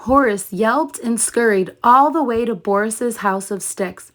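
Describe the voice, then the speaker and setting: A woman's voice: fairly high pitch, measured pace, a bit bright. A woman narrating a children's story expressively, like a teacher reading aloud.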